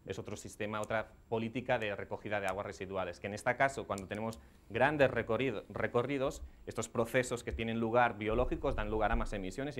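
A man in his thirties speaking at a conference lectern, answering audience questions in a technical way and often restarting his phrases. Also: medium pitch. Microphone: headset mic.

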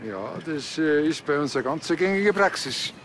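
A man's voice: a little high, animated, moderate pace, slight background noise, natural voice. An actor playing a cheeky, laid-back small-town policeman with a Bavarian accent, speaking colloquially in a comedy series. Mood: casual, cheeky. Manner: colloquial, meandering.

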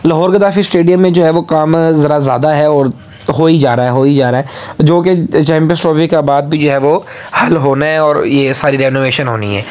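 A man with a Pakistani accent and a slightly high voice narrates an informal update for his channel. He speaks haltingly, leaning on repeated filler phrases as he goes. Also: steady tone, a little mellow. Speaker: YouTube channel host.

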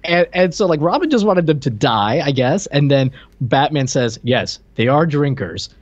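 A man's voice: on the high side, lively intonation, moderate pace, no room sound. A man, co-hosting a casual film discussion from home. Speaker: podcast co-host. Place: small room at home. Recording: dry, slightly dull home-stream audio.

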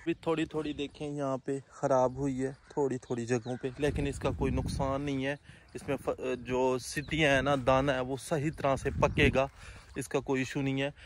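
A man's voice: medium pitch, moderate pace, noisy background. A man in his thirties with a Punjabi accent, filming a vlog on a phone at arm's length while walking by the fields and explaining as he goes. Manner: relaxed explaining while walking, hand gestures. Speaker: vlogger. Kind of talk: casual vlog commentary. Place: outdoors by wheat fields. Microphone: phone held at arm's length.

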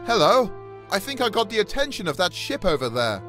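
A male voice plays an earnest, helpful stranded man in a video game, calling out to survivors. It is high and lively, with light music behind. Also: a little fast, heavily acted. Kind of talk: video game character voice lines.

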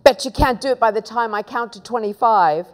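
A woman voicing a mischievous, scheming young boy in a children's audiobook, loud, taunting and exclamatory. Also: fairly high pitch, fairly quick, lightly acted.

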